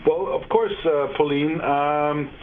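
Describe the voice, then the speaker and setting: A man's voice: fairly high pitch, a little hiss or hum. Man on a tinny call. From Norway. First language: Norwegian. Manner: halting, many fillers. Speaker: guest. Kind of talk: interview over a video call. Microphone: video call. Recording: tinny internet call line.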